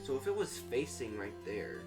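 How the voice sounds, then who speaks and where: Game streamer with a very lively pitch. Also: normal speed, music in the background. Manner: casual, slangy, switches between character voices. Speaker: streamer playing and voicing a game. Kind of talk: livestreamed read-aloud of game dialogue with casual reactions.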